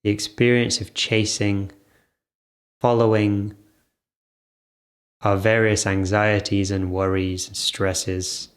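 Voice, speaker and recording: A man with a fairly low voice leads an improvised guided meditation. His delivery is very slow, broken into short phrases. He leaves gentle pauses between them. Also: little pitch movement.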